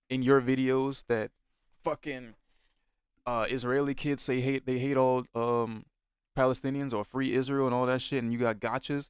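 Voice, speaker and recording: A man reacting to a clip he is watching, talking bluntly and swearing freely. His voice comes through smooth and clear, with a dry, close sound. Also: mid-range voice, no room sound. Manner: blunt, heavy swearing, exasperated. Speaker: streamer reacting to a video. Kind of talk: casual reaction commentary.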